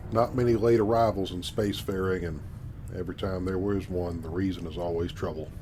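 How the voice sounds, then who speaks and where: Guy voicing his game hero, low voice, calm and measured space pilot, noise in the background. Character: calm, courteous male space adventurer and pilot. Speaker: gamer voice-acting his player character. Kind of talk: scripted game role-play dialogue.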